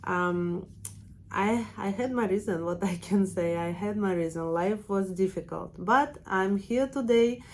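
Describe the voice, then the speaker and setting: A woman in her thirties speaks in a heavy Russian accent, her pitch sitting in an ordinary middle range. She talks in a loose, chatty vlog manner, full of fillers and frequent small laughs. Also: a little slow. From Russia, Siberia. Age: 30s. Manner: chatty and warm, laughs often, many fillers. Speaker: permanent makeup trainer and channel host. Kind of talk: casual personal vlog talk.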